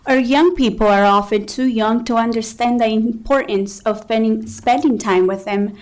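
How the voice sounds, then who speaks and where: A woman interprets consecutively at a council meeting, steady and formal with the odd "uh". Her voice sits a little above average in pitch. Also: moderate pace. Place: county council chamber.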